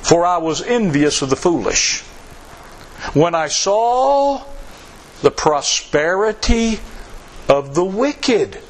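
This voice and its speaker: High-voiced, slow, emphatic male preacher. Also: sing-song, poor audio. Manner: emphatic, repeats phrases for stress; reads scripture aloud in King James wording. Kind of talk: sermon.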